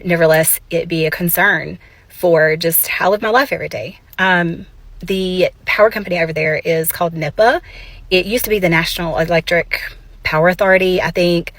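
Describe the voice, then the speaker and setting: A woman's voice: fairly low pitch, steady tone, fairly quick, fairly bright tone, dry recording. A woman giving a thoughtful, reflective monologue with hesitant ums.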